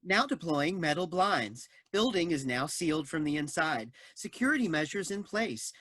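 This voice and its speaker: Casting: female actor as impassive polite computer announcer for audio comedy, slightly breathy, dry close sound. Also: low-pitched, big pitch swings, normal speed, fairly bright tone, no echo, heavily acted. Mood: impassive, formal. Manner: formal, pre-programmed politeness. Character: polite, emotionless automated building computer announcing status. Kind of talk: comedic audio drama acting.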